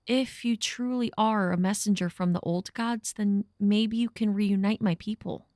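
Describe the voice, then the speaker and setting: A woman's voice: medium pitch, fairly even intonation, normal speed, darkish tone, no echo, clean studio sound, close to an everyday voice. A woman playing a wistful, pleading young woman. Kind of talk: audio drama acting.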